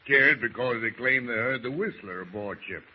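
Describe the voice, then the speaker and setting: A man's voice: mid-range voice, normal speed, slight background noise, close to an everyday voice. Man playing a scheming old-time sailor on muffled radio. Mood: wheedling, folksy. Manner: folksy old-salt dialect speech. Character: scheming seafaring ship's master, folksy and commanding. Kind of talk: 1940s radio drama acting.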